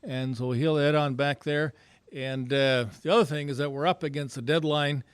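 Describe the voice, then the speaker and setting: Male club president, slightly high smooth voice, folksy and halting, making informal announcements to members. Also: steady tone, no echo. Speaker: club president chairing a meeting. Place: club meeting room.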